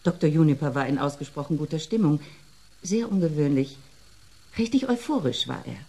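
A woman playing a reserved, precise psychotherapist in a radio drama, speaking in a clipped, formal, measured way. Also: low-pitched, expressive, dark tone, breathy edge, heavily acted. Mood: reporting, precise. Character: reserved, precise female psychotherapist with two doctorates.

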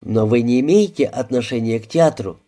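Male reader doing a composed, politely teasing young woman in a storybook; mellow, dry irony. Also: medium pitch, normal speed, lightly acted.

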